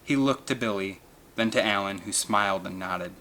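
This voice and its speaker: Male narrator, dramatic adventure audiobook read, crisp forceful tone, faint noise in the background. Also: fairly low pitch, normal speed.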